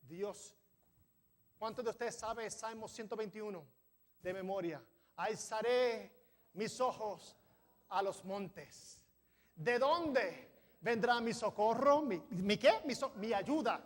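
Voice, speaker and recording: Man, pastor, high voice, sermon, non-native speaker, stumbles over words, rising emphasis. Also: slow. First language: not Spanish. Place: church sanctuary.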